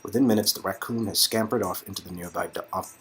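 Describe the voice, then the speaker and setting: A man with a husky, unpolished voice reads a story game aloud. He narrates the action, then slips into casual, joking asides to his co-reader. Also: sits low, quick pace, fairly bright tone, faint music behind. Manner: informal, jokey asides. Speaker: co-reader in a two-person game read-through. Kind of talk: narration of a visual novel mixed with casual banter.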